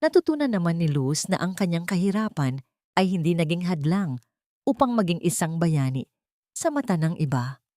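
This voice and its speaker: A low-voiced woman narrates an audio drama from a script. Her delivery is measured and sentimental, and the recording is close and dry. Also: very animated, mellow, dry recording.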